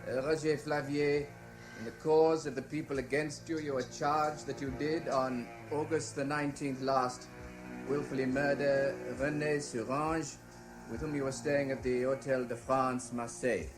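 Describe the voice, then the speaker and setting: An actor playing a formal, officious court clerk reading documents aloud in a radio drama. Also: male voice, mid-range voice, little pitch movement, moderate pace, over music, close to an everyday voice, poor audio. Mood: official, declamatory. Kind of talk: 1970s radio drama acting, formal read-out.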